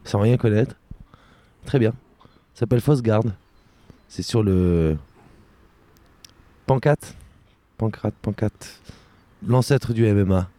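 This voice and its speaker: A man's voice: lowish voice, takes it slowly, pauses often, warm tone, dry recording. A young man co-hosting a casual radio chat, joking and hesitant, with lots of fillers. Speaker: co-host.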